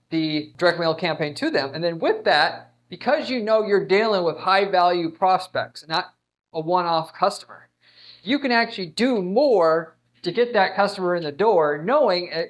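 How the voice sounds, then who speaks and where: A man with a somewhat high-pitched voice gives an off-the-cuff business explainer. He is blunt and emphatic, with a salesy push to his phrasing. His delivery halts now and then as he repeats words and restarts sentences. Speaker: marketing agency owner giving advice. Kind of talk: unscripted marketing explainer.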